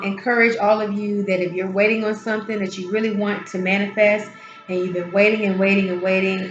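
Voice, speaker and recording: A woman hosting a tarot and spiritual channel, her voice a little hoarse, talking slowly and encouragingly from her home healing space. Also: medium pitch, echoey room, dull audio. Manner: soft, unhurried, encouraging, with drawn-out pauses. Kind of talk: casual spiritual encouragement talk.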